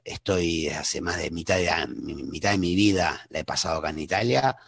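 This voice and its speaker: A man in his forties gives an online seminar presentation in a low, gravelly voice with a husky edge. He talks conversationally, with fillers and restarts. The sound is dry and close. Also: a little fast, a bit bright, dry recording. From Argentina. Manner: conversational, self-introducing, many fillers and restarts. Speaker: webinar presenter.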